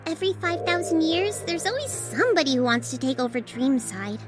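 A woman's voice: high voice, a little fast, natural voice. A woman voicing a small, timid, apologetic sprite helper with a flustered, hesitant manner in a cartoon fan dub. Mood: distressed pleading. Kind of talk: animated comic fan dub. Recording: music behind.

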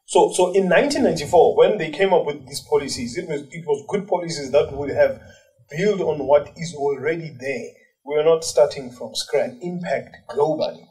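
A man from South Africa, a journalist and cultural commentator, answering at length in an animated way with many fillers and restarts. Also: on the high side, distant mic, low-quality recording. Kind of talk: interview answers.

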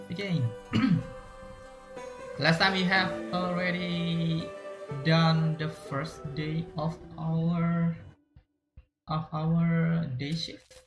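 A streamer with an Indonesian accent in casual let's-play commentary, halting with restarts and frequent throat clearing, faint game music behind. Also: medium pitch, echoey room.

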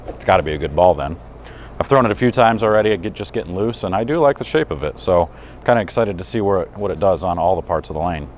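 Deep voiced guy in his 40s doing a laid back review, noisy bowling alley behind him. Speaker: product reviewer and bowling coach. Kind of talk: casual unscripted review talk. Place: indoors in a pro shop and bowling alley. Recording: clear background noise of bowling alley.

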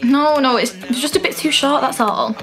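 A woman's voice: sits high, moderate pace, a bit bright, soft background music. A young woman acting in a radio drama, playing an anxious, defensive girlfriend who tries to placate her partner. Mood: uneasy, placating. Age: young adult. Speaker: student actor.

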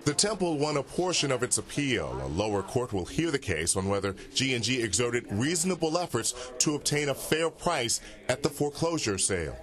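A man narrating a TV news report in a steady, measured way. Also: medium pitch, a crowd in the background.

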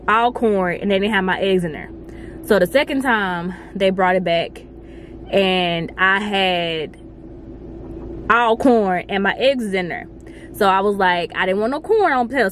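A woman's voice: mid-range voice, slight background noise. Chatty young woman vlogging in a car. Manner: animated storytelling, talks with her hands. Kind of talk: casual car chat. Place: parked car, indoors. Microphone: camera on dashboard.

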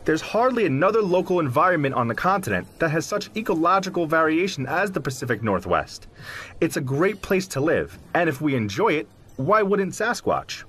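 A man's voice: on the high side, very animated, fairly quick, fairly bright tone, slight background noise. A man playing an articulate, self-assured zoologist explaining his field in an audio drama interview, in an emphatic, professorial manner. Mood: confident, explanatory. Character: articulate, self-assured male zoologist who believes in Sasquatch. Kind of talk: audio drama interview, scripted but conversational.

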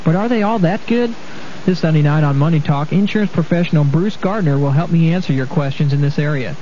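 Higher-pitched, mellow male radio host. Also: fairly quick. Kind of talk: scripted radio promo. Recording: muffled 1980s radio, faint hiss.

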